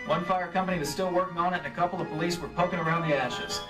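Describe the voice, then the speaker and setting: Guy as wry hardboiled investigator, old radio show style, quick, off mic with music. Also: fairly high pitch, steady tone, natural voice. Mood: cool, wry. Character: cool, wry male insurance investigator, hard-boiled detective. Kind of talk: old-time radio drama acting and first-person narration. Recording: distant live stage recording.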